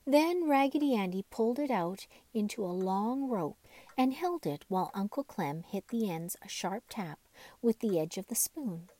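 A woman's voice: medium pitch, expressive, moderate pace, warm tone. A woman narrating a children's bedtime story from a script. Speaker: storyteller reading a children's book.

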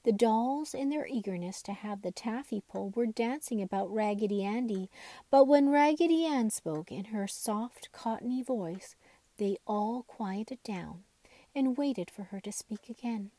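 Woman reading a children's story, mellow voice. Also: on the high side, unhurried, no room sound, low-quality recording. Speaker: storybook reader. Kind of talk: scripted children's story narration.

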